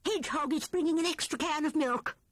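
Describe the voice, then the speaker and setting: A woman's voice: sits high, fairly quick, dry recording, clean studio sound, voice pushed up, lightly acted. A woman doing a cheery, knowing mole. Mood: sly. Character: kindly male mole bringing a feast.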